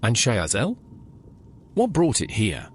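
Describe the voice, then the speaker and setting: Man as a very animated questioning hero. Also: mid-range voice, slow, slight background noise. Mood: curious. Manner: inquisitive. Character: questioning male adventurer hero of a fantasy game. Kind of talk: AI-voiced video game player character.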